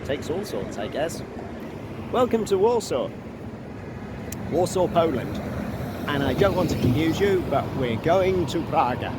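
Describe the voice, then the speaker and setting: A man walking through a city, narrating a travel vlog in a chatty, unhurried way with asides. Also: on the high side, big pitch swings. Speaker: travel vlogger. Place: outdoors on city streets by a river. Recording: noisy outdoor street recording. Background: loud traffic and city noise.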